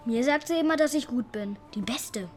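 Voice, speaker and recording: A female performer playing a bright, stubborn schoolgirl in a radio drama, direct and full of childlike questions. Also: a little high, normal speed, faint music behind, close to an everyday voice. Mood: cheeky, insistent. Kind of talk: naturalistic radio drama dialogue.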